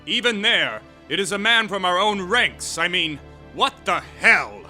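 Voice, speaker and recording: An actor playing an indignant, smart-mouthed soldier hero in a comedy audio drama. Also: male voice, high-pitched, sing-song, a little slow, sharp tone, faint music behind, exaggerated voice. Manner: indignant, sassy, plain-spoken.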